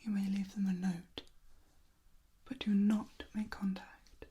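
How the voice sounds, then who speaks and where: A woman playing a protective, controlling, world-weary female vampire in a soft-spoken ASMR roleplay, whispering breathily with formal, old-fashioned phrasing. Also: medium pitch, level tone, slow, a little hiss or hum, close to an everyday voice. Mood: softly firm.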